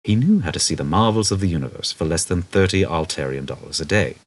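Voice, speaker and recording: A man narrating a comic novel as an expressive audiobook reader. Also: low-pitched, fast talker.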